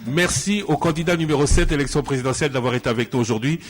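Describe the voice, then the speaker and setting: A man's voice: fairly high pitch, very fast. A man with a Congolese accent presenting a radio programme, making announcements to listeners as the host. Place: radio studio.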